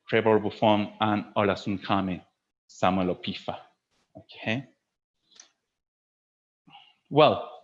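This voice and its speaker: A man presenting a webinar to fellow teachers in a didactic way, stressing key words and often checking in with a short questioning tag. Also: fairly low pitch. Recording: dull lo-fi online webinar audio.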